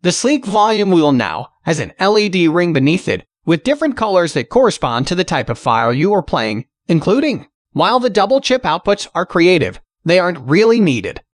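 A man's voice: sits high, big pitch swings, moderate pace, few pauses, no room sound, studio quality. A man reading a scripted product review as a voice-over in a polished, presentational way. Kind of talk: scripted promotional voice-over narration.

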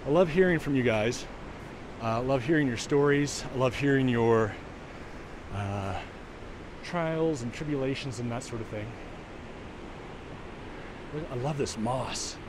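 A man narrates a hike up a slippery, snowy trail, talking casually in between breaths. His voice sits at an ordinary middle pitch. The camera mic picks up strong outdoor noise and rushing water behind him. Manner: chatty, talks between breaths while climbing. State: winded from walking uphill. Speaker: vlogger and virtual walk guide. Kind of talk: casual narration while hiking. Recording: outdoor recording with loud wind and water noise.

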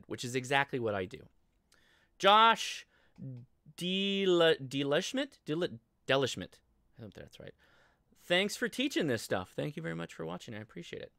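An American man in his thirties talking through a casual livestream tutorial, conversational and halting as he thinks aloud. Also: medium pitch, a bit bright, no echo, clean studio sound. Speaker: livestream host and ham radio instructor. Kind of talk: casual live tutorial with chat replies. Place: indoor home studio desk, livestream.

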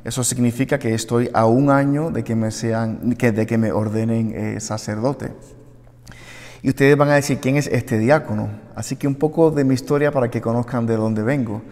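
A man's voice: mid-range voice, fairly even intonation, normal speed, a little mellow. A man in his thirties with a Puerto Rican accent, a deacon speaking earnestly to a congregation in a prepared appeal. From Puerto Rico. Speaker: deacon and seminarian. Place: small wood-panelled chapel, indoors, at a lectern. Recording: long echoey reverb of a hard-walled room.

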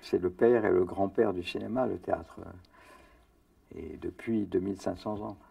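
An older man talks casually in an indoor interview, hesitant and amused, often pausing with fillers. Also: low voice, gravelly, dark tone, dry recording. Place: indoor room. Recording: dull, slightly distant, dry.

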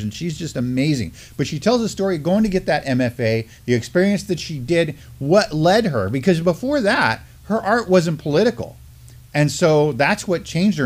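Earnest middle-aged man co-hosting a talk. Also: on the high side. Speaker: co-host of a Native news live stream. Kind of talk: conversational news commentary and storytelling. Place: indoor studio at a desk with a backdrop.

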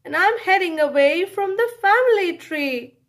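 Woman voicing a high, emphatic little stick man. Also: expressive, normal speed. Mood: indignant. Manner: emphatic, chanting refrains. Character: indignant, plaintive little living stick man.

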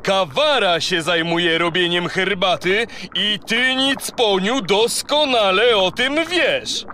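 Pompous grumpy sea monarch, raised pitch, unhurried, children's audio play, noisy water ambience. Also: male voice, sharp tone, full character voice. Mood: indignant. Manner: pompous, blustering. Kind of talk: children's audio drama acting.

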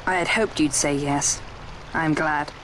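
A man playing a polite, diplomatic young imperial prince in a video game cutscene, speaking formally and in measured phrases over music. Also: on the high side, steady tone, a bit bright, a little hiss or hum, poor audio. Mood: pleased, secretive. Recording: orchestral music behind the voice.

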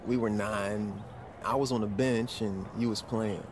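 An actor playing a cocky young basketball player talking casually with an old friend in a video game cutscene. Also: male voice, lowish voice, fairly even intonation, unhurried, close to an everyday voice. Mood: reflective. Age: young adult. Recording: clear ambient noise behind.

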